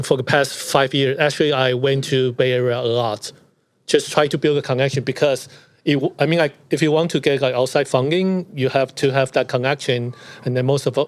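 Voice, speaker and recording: A middle-aged man with a Taiwanese accent and a medium-pitched voice, recorded close and dry. He speaks haltingly as a founder on a panel, restarting with "like" and "I mean". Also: no echo. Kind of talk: casual panel discussion.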